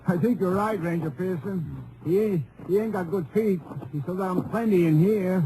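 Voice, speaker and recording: Higher-voiced tracker. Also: male voice, normal speed. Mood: respectful, cautious. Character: loyal, observant Spanish-speaking posse tracker. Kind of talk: old-time radio western acting. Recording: noisy old radio show recording.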